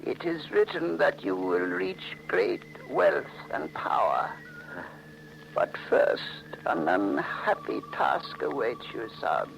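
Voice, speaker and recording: An actor playing a solemn, ominous fortune-telling servant in a 1940s radio horror drama, speaking slowly and formally. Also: male voice, on the high side, huge pitch swings, vocal fry, a bit bright, exaggerated voice, low-quality recording. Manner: slow, portentous, formal. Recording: old 1940s radio recording with music.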